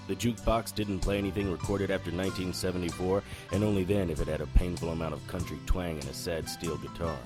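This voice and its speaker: A man's voice: sits low, close to an everyday voice. An actor playing a weary, hard-drinking, sardonic male investigator in a noir audio drama.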